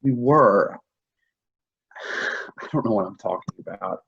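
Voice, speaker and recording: Man acting a husky, halting, shaken husband. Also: on the low side, lively intonation, fairly bright tone, highs cut off, full character voice. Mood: dazed, confessing. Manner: halting, hesitant, repeating himself. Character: shaken, confused husband grieving a lost pregnancy. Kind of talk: staged play reading, naturalistic drama.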